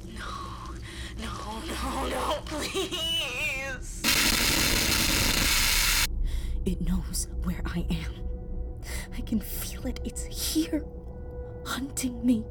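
A frightened, injured female explorer in a sci-fi horror audio drama. Her voice sits fairly high and moves slowly, in halting, stammered fragments, as if trapped and struggling. Prominent music plays behind her. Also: expressive, heavily acted, rough recording. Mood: despairing, hushed. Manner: halting, stammering, breathy panicked rambling. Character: terrified injured woman explorer in a spacesuit, trapped underground.

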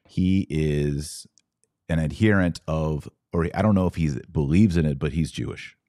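A man's voice: extremely low pitch, unhurried, dark tone, no room sound, clean studio sound. An American man hosting a podcast, speaking casually and off the cuff as he reads out and answers viewer comments. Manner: conversational, reads aloud then responds off the cuff. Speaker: podcast host.

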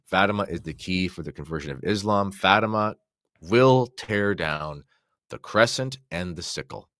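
A man's voice: low voice, normal speed, fairly bright tone, no room sound, clean studio sound. A man talking conversationally on a podcast, commenting and reading quotations aloud. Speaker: podcast guest or co-host.

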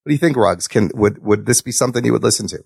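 A man hosting a podcast, chatting casually about news with co-hosts in an excitable, sweary way. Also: on the low side, rapid-fire, gravelly, husky, no echo, clean studio sound. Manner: excitable, profane. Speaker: podcast host.

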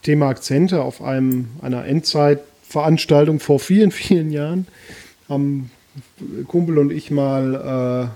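A man's voice: fairly high pitch, unhurried, dark tone. A man co-hosting a podcast, telling stories in an easygoing way with hesitant restarts. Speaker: podcast co-host. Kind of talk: casual chat.

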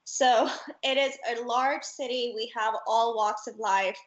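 A woman's voice: a little high, fairly even intonation, measured pace, dull audio. A woman from Pakistan talking casually and reflectively about herself as an interview guest. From Karachi, Pakistan. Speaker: interview guest, fashion influencer. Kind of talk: casual personal storytelling.

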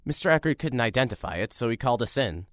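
A man's voice: mid-range voice, big pitch swings, fast talker, fairly bright tone, no room sound. A young man narrating an audio drama in first person as a reporter, dry and matter-of-fact. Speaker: narrator and lead character, a young radio reporter.

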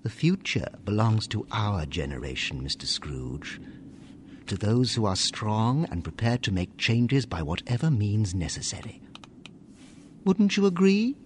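A man's voice: on the low side, big pitch swings, measured pace, slight background noise. An actor playing an ambitious, persuasive young businessman, formal and insinuating, in a radio drama. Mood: calculating, persuasive. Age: young adult. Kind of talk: family radio drama acting.

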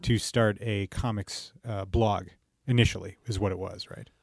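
A man's voice: on the low side, fairly even intonation, normal speed, creaky, darkish tone, no echo. A man hosting a podcast with casual, joking banter. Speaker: podcast host.

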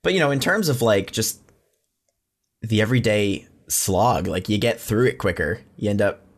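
A man's voice: on the low side, lively intonation, moderate pace. A man chatting casually as a podcast co-host, blunt and swearing freely. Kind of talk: casual chat.

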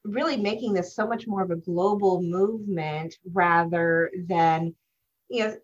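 A woman's voice: lowish voice, a little slow, muffled recording. A woman hosting a podcast interview, introducing her guest and asking questions with enthusiasm. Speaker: podcast host, business consultant.

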